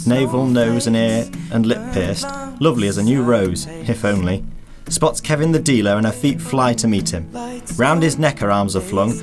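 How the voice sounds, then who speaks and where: A man reading poetic scripted narration for a radio play. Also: medium pitch. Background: music with plucked guitar.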